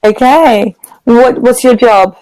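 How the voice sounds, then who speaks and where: Slow-paced British woman tutoring. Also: a little high, a little mellow, rough recording. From England. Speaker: online English tutor. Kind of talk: casual conversational lesson. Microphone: video call.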